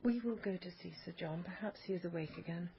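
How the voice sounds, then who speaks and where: A woman's voice: on the low side, fast talker, natural voice. An actress playing a stern, guarded aristocratic mother in a radio drama, speaking formally and with clipped command. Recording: dull, noisy radio drama recording.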